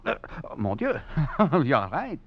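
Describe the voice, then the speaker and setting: A wry, chuckling escaped prisoner. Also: male voice, mid-range voice, wildly swooping pitch, slow, exaggerated voice. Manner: chuckling, wry, theatrical narration. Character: wry, cunning male escaped prisoner and philosopher. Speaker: narrator. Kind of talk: 1940s radio acting. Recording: dull old radio recording, faint music.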